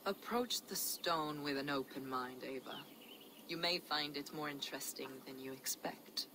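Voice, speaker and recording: A deep female voice from an actress, playing a devout and secretive heretic in a game. She speaks in measured, archaic riddles, with clear ambience behind her. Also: background noise, close to an everyday voice. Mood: reverent. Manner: measured, cryptic, archaic phrasing. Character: enigmatic, devout heretic woman, collector of sacred relics. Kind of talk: dramatic video game acting.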